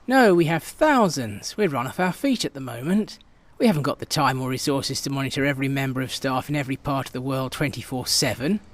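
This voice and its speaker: A man's voice: medium pitch, lively intonation, brisk, few pauses, natural voice. A man acting a ruthless intelligence chief in a radio drama, clipped and commanding. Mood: defensive. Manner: clipped, authoritative.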